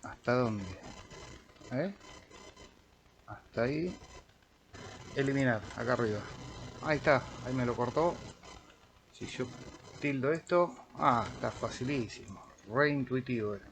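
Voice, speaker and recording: A man with an Argentine Spanish accent giving an informal software tutorial, thinking aloud as he goes. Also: mid-range voice, a little slow, long pauses, a little hiss or hum. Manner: informal, thinking aloud while clicking through. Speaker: amateur radio operator demonstrating software. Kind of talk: casual screen-recorded software tutorial.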